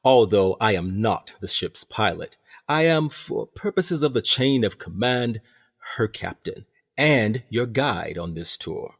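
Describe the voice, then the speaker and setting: A man acts out a sinister male prison director from a radio play. His voice is low and swings widely in pitch. He speaks with formal, measured courtesy that carries quiet menace. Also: expressive, gravelly, breathy edge, heavily acted. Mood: falsely courteous. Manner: formal, eloquent, theatrical, measured. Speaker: actor in an audio drama. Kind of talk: community theatre radio play acting.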